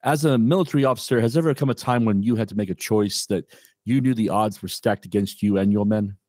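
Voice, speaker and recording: A man hosting an interview podcast, asking questions briskly and formally. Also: on the low side, a little mellow, dry recording, clean studio sound. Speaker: podcast host.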